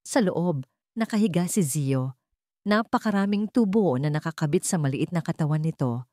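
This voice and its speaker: Casting: female storyteller, lowish pitch, solemn dramatic scripted narration, dry studio-like sound. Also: lively intonation, moderate pace, dark tone, no room sound.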